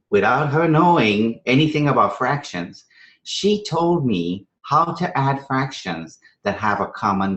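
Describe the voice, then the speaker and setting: An echoey room recording of a man presenting math teaching strategies to fellow teachers. His mid-pitched voice swings expressively up and down. He talks conversationally and with emphasis, posing rhetorical questions and checking in often with short tags. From Trinidad and Venezuela. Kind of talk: live instructional presentation to teachers.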